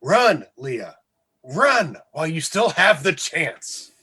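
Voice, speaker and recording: A man's voice: a little high, sing-song, takes it slowly, sharp tone, slight background noise, full character voice. A man acting in a comedy radio play as a sardonic, goading radio co-host with a mocking, exclamatory delivery. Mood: gleeful goading.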